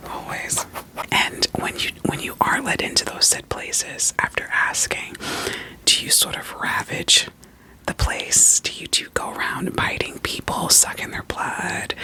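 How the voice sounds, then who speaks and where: A person whispering an ASMR roleplay as a doting, quirky therapist, soothing and reassuring with gentle questions. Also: low-quality recording. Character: doting, quirky therapist evaluating a vampire client.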